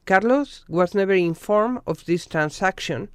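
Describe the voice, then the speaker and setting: A documentary narrator reading a scripted news report in a measured, formal way from a studio voice-over. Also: mid-range voice, lively intonation, clean tone, dry recording.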